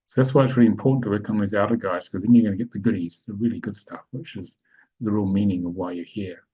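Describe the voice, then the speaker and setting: A man's voice: fairly low pitch, fairly even intonation, fast, warm tone, breathy edge. A man presenting a spiritual webinar, explaining step by step and often checking that his audience follows. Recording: dull lo-fi online call audio.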